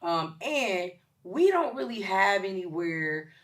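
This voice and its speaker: A woman in her late forties, a chef and caterer talking as a guest in a casual podcast interview, emphatic and lively, with many ums. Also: medium pitch, slow pace. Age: 40s. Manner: lively, emphatic, with shout-outs and filler ums.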